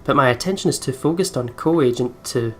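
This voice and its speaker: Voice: medium pitch, brisk. A gamer doing casual let's play commentary, swearing and stumbling while reading visual novel narration aloud.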